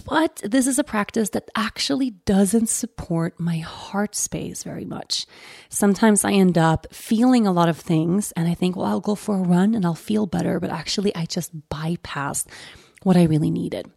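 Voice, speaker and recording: Woman, gentle guide, low warm voice, gravelly edges, soft half-whispers, dry close sound. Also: no echo. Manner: slow, soft, gentle guiding with whispered phrases. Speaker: podcast host. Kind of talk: guided self-care reflection.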